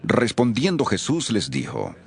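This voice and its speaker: A man's voice: lowish voice, huge pitch swings, a little slow, vocal fry, soft background music. A man narrating scripture from a script as the storyteller of an audio production.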